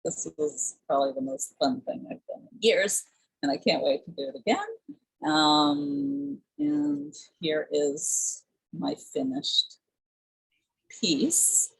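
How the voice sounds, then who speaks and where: Husky, gravelly-voiced woman artist. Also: sing-song, takes it slowly, low-quality recording. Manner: enthusiastic, with big pitch swings. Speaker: presenting artist. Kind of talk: online artist presentation. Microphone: video call.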